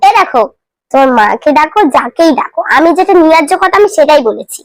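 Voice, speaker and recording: A woman's voice: sits high, expressive, a little fast, no room sound. A woman making a prank call, chatting in a teasing, casual way. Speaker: prank caller. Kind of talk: casual teasing phone chat.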